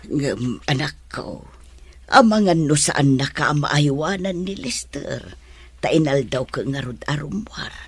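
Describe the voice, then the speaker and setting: A woman playing a gentle, anxious older village mother in an Ilocano-accented radio drama, speaking plaintively. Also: low voice, expressive, unhurried, slightly rough, no room sound, heavily acted. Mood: tender worry.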